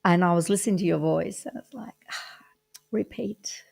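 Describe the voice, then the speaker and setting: A woman hosts a radio interview in a gravelly, fry-laden voice pitched a little low. She keeps the guest going with quick bursts of praise. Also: a little mellow. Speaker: radio show host.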